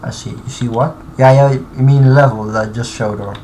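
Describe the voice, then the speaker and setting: A man at his computer, narrating a live stream in a loose, halting way, stopping and restarting as he puzzles over what he sees. His voice sits in the middle range, and clear background noise and keyboard typing run under it. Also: mid-range voice, steady tone, darkish tone. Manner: thinking aloud, halting, many fillers and restarts. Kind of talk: casual live-stream commentary while modding.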